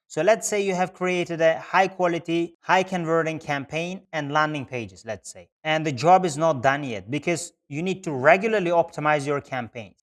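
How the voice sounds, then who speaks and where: A man explaining things step by step as an instructor, in a methodical screen-share tutorial style with repeated phrasing. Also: a little high. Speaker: instructor, Google Ads specialist.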